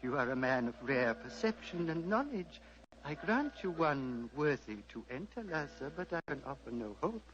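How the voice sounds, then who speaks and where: An actor playing a serene, fatherly old Tibetan abbot in a measured, formal radio drama style. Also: on the high side, big pitch swings. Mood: calm, fatherly. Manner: measured, formal, gently paternal. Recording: muffled vintage radio, hum and hiss.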